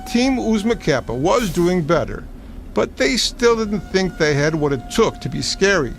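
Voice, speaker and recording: A man's voice: high voice, very animated, measured pace, creaky. A man narrating a children's read-along storybook in a scripted storytelling style. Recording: music playing behind. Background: music.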